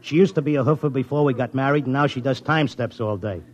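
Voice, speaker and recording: An actor playing a stubborn, lovesick Broadway handicapper with a New York accent and slangy talk, in 1950s radio drama style. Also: male voice, mid-range voice, quick pace, a little hiss or hum, close to an everyday voice. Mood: stubborn, insistent. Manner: slangy, colloquial tough-guy talk. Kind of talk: 1950s radio drama acting, first-person narration and dialogue. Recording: dull vintage radio recording, music behind.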